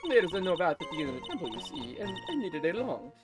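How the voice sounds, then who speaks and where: A woman's voice: lowish voice, very animated, a little fast, over loud music. Woman playing a chatty, dramatic old temple nun. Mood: matter-of-fact, dramatic. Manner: folksy, dramatic complaining. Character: chatty elderly temple nun witness with a bad back.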